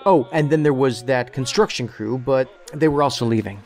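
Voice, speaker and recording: An actor playing a quirky, literal-minded, matter-of-fact investigator in an audio drama. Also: male voice, mid-range voice, moderate pace, darkish tone, soft background music, natural voice.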